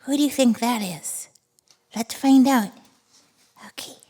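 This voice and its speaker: A woman voicing a friendly, excitable little monster who reads picture books to toddlers with gleeful wonder. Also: on the high side. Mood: playfully spooky. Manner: gleeful, giggly, breathless wonder, drawn-out words. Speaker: children's read-aloud host. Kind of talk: animated picture-book read-aloud for toddlers.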